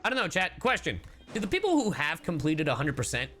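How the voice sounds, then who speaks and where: Male gamer streamer, animated joking commentary, swears a lot, faint game music. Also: fairly high pitch, fast. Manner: joking, chatty, swears freely. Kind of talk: casual livestream commentary.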